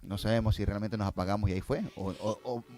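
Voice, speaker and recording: A man's voice: fairly low pitch, steady tone, quick pace, a little mellow, soft background music. A man speaking as a filmmaker guest in a casual interview, explaining and telling anecdotes, often tagging phrases with a questioning "no?".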